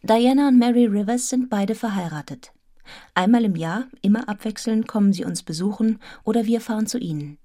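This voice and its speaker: An actress playing a devoted, composed young wife in a radio drama, speaking in a measured, intimate, reflective way. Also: female voice, mid-range voice, mellow, natural voice. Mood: contented, reflective. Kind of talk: literary radio drama, first-person narration and dialogue.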